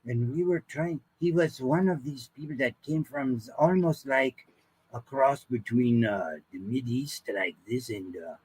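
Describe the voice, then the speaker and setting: A man chatting casually as a guest voice actor, explaining his craft in an animated, loose way. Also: medium pitch, unhurried, husky, poor audio. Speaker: character voice actor, podcast guest. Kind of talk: casual interview chat.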